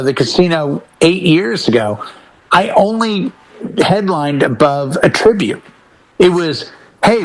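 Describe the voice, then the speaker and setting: Higher-voiced middle-aged American man chatting. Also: poor audio. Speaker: DJ and podcast panelist. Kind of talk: casual panel chat.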